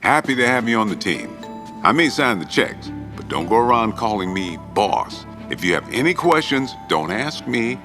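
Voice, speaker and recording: An actor playing Winston, a relaxed, easygoing older man who heads the ghostbusters. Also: mid-range voice, normal speed, over music, lightly acted. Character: Winston, laid-back older boss of the ghostbusters. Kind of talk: video game cutscene voice acting.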